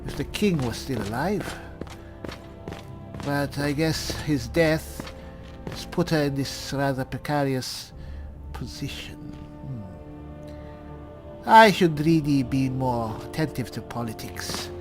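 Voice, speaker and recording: A man voicing a polite, bumbling cleric adventurer in in-character gameplay commentary, halting and musing. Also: mid-range voice, faint music behind, lightly acted. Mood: pensive. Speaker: roleplaying game player.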